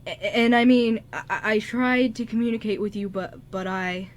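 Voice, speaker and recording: A woman's voice: mid-range voice, moderate pace, lightly acted. A woman playing a shy young male musician in an amateur radio drama, speaking hesitantly with many stammers. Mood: nervous, confessing. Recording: light background noise.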